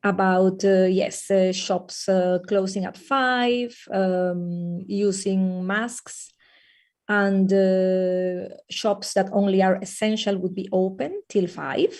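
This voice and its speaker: A woman from Peru who teaches early childhood, talking in a webinar conversation with many fillers and pauses over a video call. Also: mid-range voice, steady tone, darkish tone. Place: lives in the Netherlands.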